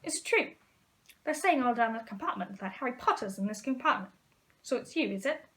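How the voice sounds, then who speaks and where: A woman puts on a haughty young boy for a storybook, high in pitch. He sneers and talks down to everyone with a careless drawl. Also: lively intonation, a little fast, a bit bright. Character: sneering, haughty pale schoolboy bully.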